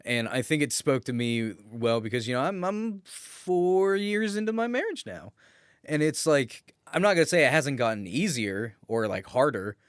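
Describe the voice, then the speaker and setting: Male co-host, expressive intonation, dry close sound, casual candid chat with some teasing. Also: mid-range voice, moderate pace, clear, resonant tone, dry recording. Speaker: podcast co-host. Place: small office.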